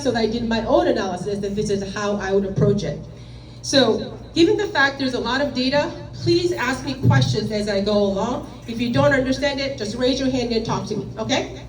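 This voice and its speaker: A middle-aged woman with a Korean accent speaks loudly and directly to a gathered audience, her voice sitting a little high. She is picked up from across an echoey meeting room, with a faint hiss of noise underneath. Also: distant mic. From South Korea. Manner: loud, emphatic, direct and plain-spoken. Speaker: guest speaker. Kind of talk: public presentation to a meeting.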